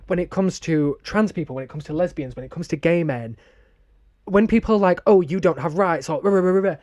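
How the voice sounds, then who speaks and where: A young man with a Sheffield accent, co-hosting a podcast and telling stories in a lively, sweary way. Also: a little high, brisk, no room sound, lo-fi sound. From northern England. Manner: animated, sweary storytelling. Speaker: podcast co-host. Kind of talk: casual chat.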